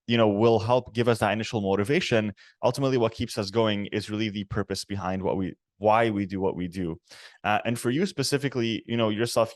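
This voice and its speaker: Lowish guy hosting a podcast, dry mic, encouraging, lots of mm-hmms. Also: no room sound. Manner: encouraging, with frequent affirming murmurs. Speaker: podcast host. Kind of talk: interview conversation.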